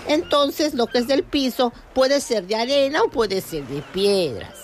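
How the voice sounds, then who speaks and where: A woman's voice: a little high, animated, measured pace, slight background noise. A woman playing a chatty beach coach from the Colombian coast, talking in a Colombian accent with a sweet, fussy manner and lots of diminutives. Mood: sugary. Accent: Colombian Spanish, put on. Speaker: comedy guest character. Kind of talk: comic radio sketch.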